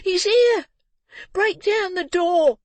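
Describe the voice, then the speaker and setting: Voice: extremely high pitch, slow pace, no echo, pitched above the performer's own voice. A reader voicing a terrified, defiant young boy who screams for help in a classic audiobook. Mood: screaming. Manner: shrieking, frantic. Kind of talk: audiobook reading of a classic novel.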